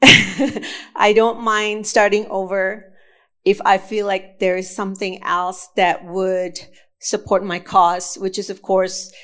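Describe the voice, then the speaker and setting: Woman from indonesia giving a talk, really slow and careful, lots of ums and restarts. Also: medium pitch, clean tone. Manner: slow and deliberate with frequent fillers and restarts. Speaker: conference speaker. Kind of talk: conference talk. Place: conference session room.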